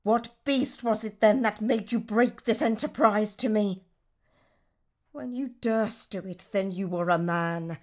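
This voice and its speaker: An actress playing a ruthless, scheming noblewoman, speaking Shakespearean verse in a deliberate, theatrical manner for a radio drama. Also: on the high side, a little mellow.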